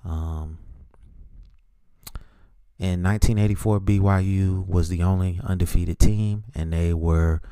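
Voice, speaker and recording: A middle-aged man hosting a solo podcast, giving his sports opinions in an informal, measured, opinionated way. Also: deep voice, steady tone, dark tone, smooth, dry recording, studio quality. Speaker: podcast host.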